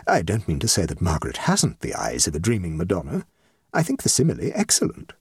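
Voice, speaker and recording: A man voicing a shrewd, tactful society lady with a measured, dry and precise manner in a comic story. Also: low voice, huge pitch swings, husky, no room sound, exaggerated voice. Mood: dry, diplomatic. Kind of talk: comic short story reading.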